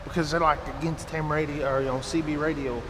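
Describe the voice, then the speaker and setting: A man's voice: a little high, little pitch movement, quick pace. An American man in his thirties, a trucker talking casually to camera in a rambling, folksy way. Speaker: trucker and video blogger. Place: inside an empty truck trailer, door open. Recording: echoey metal trailer, clear background noise.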